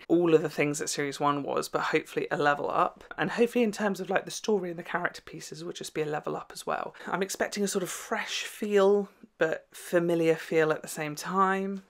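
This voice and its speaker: A young man giving casual, expressive reaction commentary, chatting to camera and exclaiming in short bursts. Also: fairly high pitch. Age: young adult. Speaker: reaction video host. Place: small indoor room at home.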